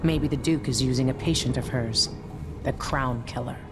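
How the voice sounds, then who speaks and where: A woman playing a gruff, guarded, middle-aged ship captain with a dry, blunt delivery in a video game. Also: very deep voice, moderate pace, very noisy. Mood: somber. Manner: dry, blunt, matter-of-fact. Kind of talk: dramatic game dialogue.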